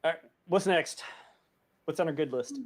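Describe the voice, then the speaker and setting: A man's voice: fairly high pitch, normal speed. A man chatting casually and informally as a podcast host.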